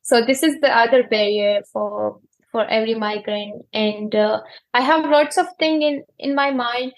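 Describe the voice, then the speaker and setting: A woman's voice: on the high side, measured pace, rough recording. A 21-year-old woman with an Afghan accent, speaking English as a learned language and searching for words as she answers interview questions. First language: not English. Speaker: interview guest, cricketer.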